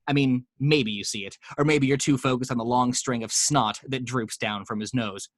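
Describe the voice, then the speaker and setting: A man's voice: medium pitch, normal speed, dry recording, clean studio sound. A man narrating the action lines at a home table read, with chatty asides to the listeners.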